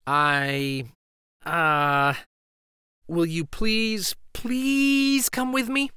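A man's voice: a little high, little pitch movement, drawn-out words, sharp tone, clear, resonant tone, dry recording, clean studio sound, exaggerated voice. A man voicing a timid, worried little schoolgirl in a storybook, hesitant and anxious.

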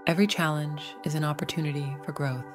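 A woman narrating a scripted guided meditation in a gentle, soothing, measured way. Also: deep voice, steady tone, music in the background.

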